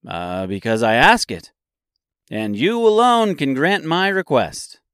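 A man's voice: mid-range voice, big pitch swings, slow, fairly bright tone, clean tone, no echo, studio quality, pitched above the performer's own voice. A man voicing a polite tin woodman in a storybook, earnest, hopeful and pleading.

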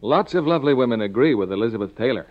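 A man's voice: medium pitch, animated, very fast. A male announcer delivering a scripted advertisement in 1950s radio style. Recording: muffled 1950s radio broadcast.

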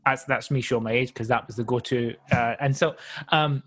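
A man's voice: medium pitch, steady tone, a little fast, a bit bright. A man, telling stories in an easygoing, casual chat. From Glasgow, Scotland.

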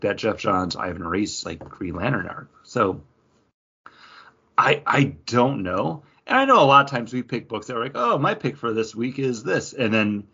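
Rambling animated man on a podcast. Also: lowish voice, gravelly, slightly rough. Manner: rambling, animated, frequent "like" and restarts. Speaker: podcast co-host. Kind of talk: casual chat. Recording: dull lo-fi podcast audio.

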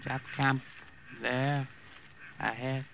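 A wary jungle scout in an old radio play, clipped broken english, really slow, hissy old recording. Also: male voice, mid-range voice, little pitch movement. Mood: hushed, wary. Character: terse native Burmese jungle scout, wary and cautious. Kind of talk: 1950s radio drama acting.

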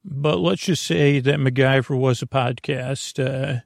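A man with a creaky voice hosting a sleep podcast, meandering through a soothing, hesitant bedtime monologue and often interrupting himself. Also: mid-range voice, fairly even intonation, a little slow, no echo, clean studio sound. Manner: lulling, soothing.

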